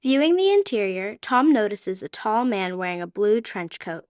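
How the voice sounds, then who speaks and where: A woman's voice: medium pitch, lively intonation, moderate pace, darkish tone, dry recording, clean studio sound. A woman reading scripted narration for an adventure radio play.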